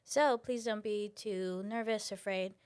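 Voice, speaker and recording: A woman hosts a casual interview in a mid-pitched voice. She is chatty and encouraging. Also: fairly even intonation, measured pace, a little mellow, smooth. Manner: chatty and encouraging, laughs often. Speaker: interview host.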